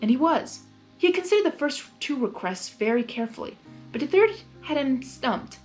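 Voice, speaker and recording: A woman reading fan fiction aloud as host, in an expressive storybook narration style. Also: medium pitch, poor audio. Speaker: fanfiction reader and channel host.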